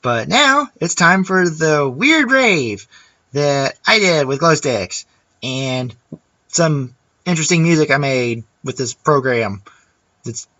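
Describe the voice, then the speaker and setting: A man's voice: on the high side, expressive, slow, bright, forceful tone, no room sound, muffled recording. A man vlogger ranting casually to camera, chatty and rambling with restarts.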